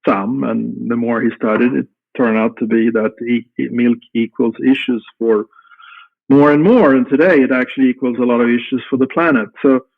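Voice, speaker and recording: A man's voice: mid-range voice, fairly even intonation, vocal fry, dry recording. Middle-aged Swedish man on a tinny line. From Sweden. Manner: unhurried, halting with many restarts and fillers. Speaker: guest, company founder. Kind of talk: casual interview answers. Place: home room at night. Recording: tinny phone-like call line.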